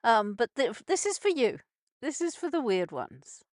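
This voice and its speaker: A woman's voice: fairly high pitch, very animated, moderate pace, no echo, studio quality. A woman who grew up in Scotland, hosting a live radio show in a chatty, rambling way and laughing easily. Her British accent carries Scottish, Cockney, Birmingham and South African traces. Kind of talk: casual live radio chat. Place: narrowboat cabin.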